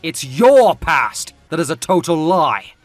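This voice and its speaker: A man's voice: fairly high pitch, big pitch swings, slow, dry recording, faint music behind, pitched above the performer's own voice, exaggerated voice. A man playing a defiant, cynical male muse in an audio comic drama, wounded and emphatic. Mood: bitter, indignant.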